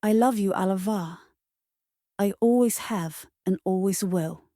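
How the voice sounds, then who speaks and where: A woman playing a tender, devoted queen who speaks from the afterlife in a gentle, formal dramatic monologue. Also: medium pitch, takes it slowly, no echo, studio quality, close to an everyday voice. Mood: loving, wistful.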